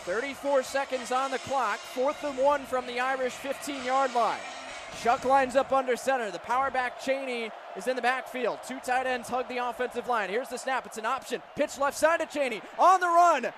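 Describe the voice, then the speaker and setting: Male play-by-play announcer, very high voice, football radio, detailed calls, noisy stadium ambience. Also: moderate pace. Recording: clear stadium noise behind.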